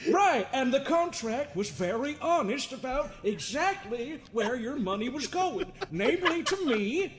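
An unhinged, folksy therapist in a comedic horror game dub. He talks high and swoops wildly between mockery and menace. Clear noise sits behind the voice. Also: very animated, unhurried, heavily acted. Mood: sly, amused. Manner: theatrical, mocking, folksy, swinging into giggles.

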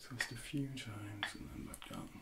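Very gravelly soft-spoken man, ASMR coaching. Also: mid-range voice, very noisy. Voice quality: gravelly, breathy near-whisper. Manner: slow, gentle, hushed coaching. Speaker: ASMR performer playing a personal trainer.

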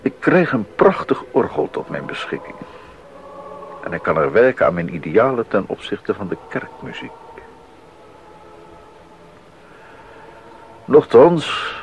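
A man's voice: on the low side, sing-song, measured pace, gravelly, a little hiss or hum, heavily acted. An actor playing an earnest, devout male composer in a 1980s radio drama, speaking in a formal, emphatic way. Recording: slightly dull 1980s radio recording.

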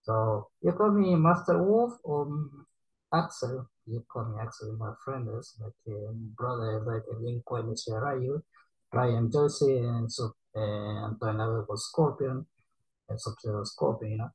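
A young man from Japan with a Japanese accent and a husky, slightly breathy voice at an average pitch. He reacts casually on a webcam in a small bedroom. His English is halting and broken, with many restarts. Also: darkish tone, low-quality recording. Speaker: reaction streamer.

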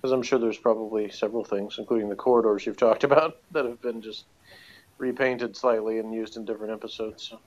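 A man's voice: on the low side, fairly even intonation, fairly quick, a little mellow, muffled recording. A man from Texas co-hosting a casual, conversational commentary on a TV episode. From Texas, United States. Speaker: podcast co-host.

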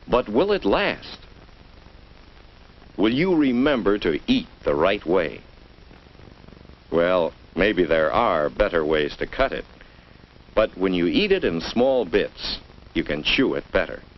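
An American man with a low voice and lively, swooping intonation narrates a 1950s educational film for children. He coaches the listener in a didactic, coaxing way, taking his time. The old soundtrack is muffled. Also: slight background noise. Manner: didactic, coaxing, deliberate phrasing.

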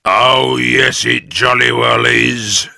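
A man voicing a gleefully nasty, scheming old husband in a children's storybook, taunting in slow, emphatic, drawn-out words. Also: medium pitch, level tone, creaky, bright, forceful tone, dry recording, studio quality, pitched above the performer's own voice, heavily acted. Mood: gloating, menacing.

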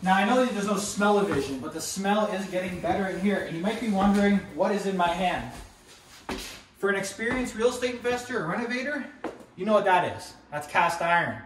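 A man's voice: high voice, a little fast, a little hiss or hum. Upbeat man touring an echoey empty apartment. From Canada. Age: young adult. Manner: upbeat, addresses viewers as guys. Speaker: real estate investor vlogger. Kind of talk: walkthrough tour talking to camera. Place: empty apartment under renovation, indoors. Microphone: camera held by another person, a few steps away. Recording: echoey empty room, mic at a distance.

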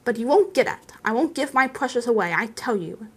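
A woman's voice: a little high, expressive, fairly quick. Woman voicing a stubborn old hobbit, huskily emphatic. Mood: angry, possessive. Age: older. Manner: emphatic, testy, defensive. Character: elderly male hobbit, stubborn, touchy and possessive.

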